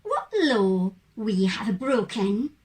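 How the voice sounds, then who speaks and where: A woman playing a defiant citizen who makes firm, deliberate statements in a scripted puppet show. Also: mid-range voice, sing-song, a little mellow.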